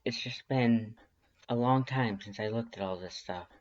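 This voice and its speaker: A man's voice: mid-range voice, normal speed, dull audio, close to an everyday voice. A man playing an earnest, friendly young human with hesitant, emotional delivery in a fan fiction audiobook. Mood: wistful, hesitant.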